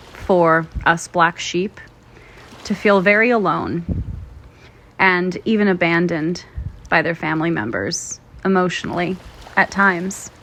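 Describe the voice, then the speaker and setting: A woman narrating a guided meditation in a gentle, soothing, measured way, pausing often between phrases. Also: on the low side, slight background noise. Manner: gentle, soothing, measured delivery with frequent pauses.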